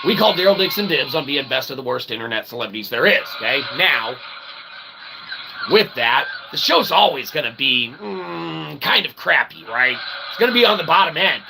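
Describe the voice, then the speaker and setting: A man giving a rambling philosophical monologue as a channel host, with a gravelly voice and wordy, mock-formal phrasing broken by folksy, emphatic check-ins. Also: a little high, very animated, moderate pace. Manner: emphatic, often checking in with "okay" and "right". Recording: clear background ambient noise.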